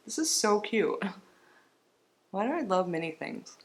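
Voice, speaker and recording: A woman's voice: mid-range voice, big pitch swings, a little slow. A woman chatting casually through a beauty box unboxing, sounding stuffy and congested, rambling along with small laughs. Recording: roomy with faint background noise.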